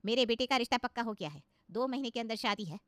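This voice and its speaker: Woman as a gossipy, high-voiced boastful aunt. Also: animated, fast talker, a bit bright, no echo. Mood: boastful, advising. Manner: chatty, knowing, boastful. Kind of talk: cartoon moral story voice.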